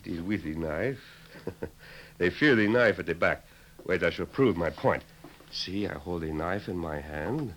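Deep, lively Mexican drifter. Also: male voice, moderate pace. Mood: crafty. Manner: polite, formal, sly. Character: smooth-talking male Mexican drifter, a hero in disguise. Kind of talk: 1950s radio western acting. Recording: faintly noisy 1950s radio recording.

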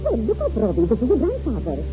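A woman's voice: a little high, wildly swooping pitch, fast talker, music in the background. An actress playing a kindly, anxious Scottish grandmother in a 1940s radio drama. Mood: gentle, welcoming. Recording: muffled, noisy 1940s radio recording.

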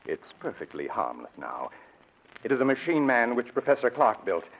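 An actor playing a confident, obsessive scientist in a 1940s radio drama, theatrical, earnest and commanding. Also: male voice, mid-range voice, big pitch swings, normal speed, narrow, tinny audio. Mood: smooth, persuasive. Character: zealous, persuasive male scientist, confident and obsessive. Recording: thin, band-limited old radio recording.

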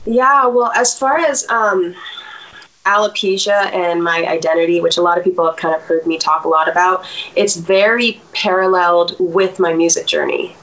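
A woman's voice: lowish voice, steady tone, moderate pace, a bit bright, muffled recording. A woman in her thirties, a singer, talking reflectively in a casual interview with many fillers and restarts.

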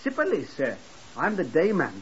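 An actor playing a slow-witted, eager young hotel porter in a 1950s radio drama, deferential and rambling. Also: male voice, fairly high pitch, huge pitch swings. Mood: eager, uncertain. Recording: muffled, hissy 1950s radio recording.

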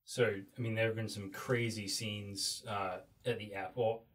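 A man hosting an interview podcast, reading a passage in a measured way and asking loose questions with fillers. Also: on the low side, flat delivery, fairly bright tone. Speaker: podcast host. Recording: voice a bit far from the mic.

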